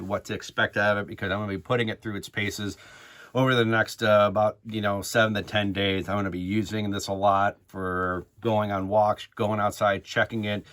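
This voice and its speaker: A man with a fairly low voice talks through a product review for his viewers. His delivery is informal and unscripted, with a hesitant, halting way of putting things, in the style of a casual unboxing vlog. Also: fairly bright tone.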